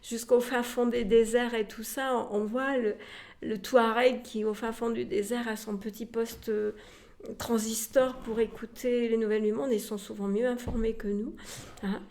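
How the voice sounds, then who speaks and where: Woman in a halting conversational interview. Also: on the high side, fairly even intonation, darkish tone. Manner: halting, repeats words, trails into asides. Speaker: museum representative being interviewed.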